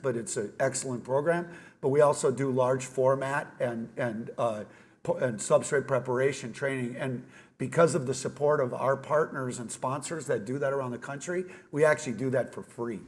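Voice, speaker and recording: A man from a trade association answering a question on a conference panel in a plain-spoken, informative way with some fillers. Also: mid-range voice.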